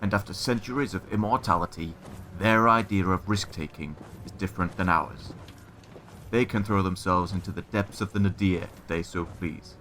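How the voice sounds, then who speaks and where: A man playing a deep-voiced, stern guard captain. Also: normal speed, a bit bright, a little hiss or hum. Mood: stubborn, formal. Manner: formal, guarded, terse. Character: stern, weary, stubborn male human guard captain. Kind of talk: fantasy audio drama acting.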